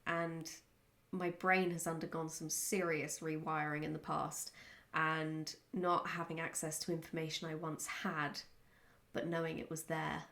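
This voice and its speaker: A woman with a slightly low voice and a noticeable creak speaks casually, as if answering follower questions in a vlog. Her delivery is reflective and candid, with halting moments as she works through a thought. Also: little pitch movement, a bit bright.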